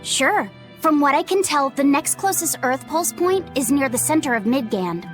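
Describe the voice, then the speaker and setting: Gentle, high-voiced young boy. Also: lively intonation, moderate pace, soft background music. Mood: earnest. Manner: hesitant, soft-hearted. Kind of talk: video game acting.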